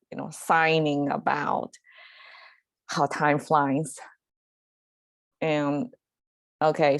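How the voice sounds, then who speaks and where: A woman with a Chinese accent speaks slowly in a low, unhurried voice, stopping often for fillers and restarts as she explains. The sound is dry and close, with no room around it. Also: no echo. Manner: hesitant, lots of fillers and restarts, laughs easily. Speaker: language teacher and show host. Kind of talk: casual solo language lesson. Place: home in Southern California.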